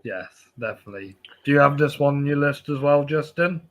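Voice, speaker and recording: A man's voice: a little high, brisk. A man chatting informally about films as a podcast co-host. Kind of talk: casual film discussion.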